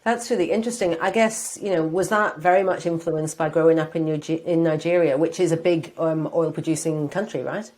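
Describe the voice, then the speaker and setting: A woman's voice: low-pitched, steady tone, brisk, few pauses, darkish tone. Upbeat woman hosting a podcast. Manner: upbeat and encouraging. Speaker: podcast host. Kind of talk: hosted podcast interview.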